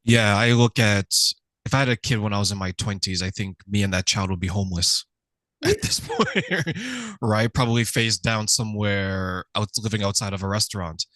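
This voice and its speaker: A man's voice: on the low side, moderate pace, no echo. A man hosting an interview, chatting casually and joking, acting out little scenes with playful voices. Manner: humorous, animated storytelling with playful voices. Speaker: host.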